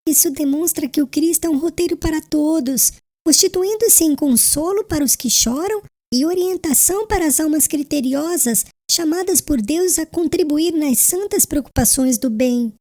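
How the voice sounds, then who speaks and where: A woman playing a gentle, devout, ailing young bride in a dramatized audiobook, speaking solemnly and tenderly. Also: high voice, moderate pace, natural voice. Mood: serene, earnest. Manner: solemn, tender, preachy formal delivery.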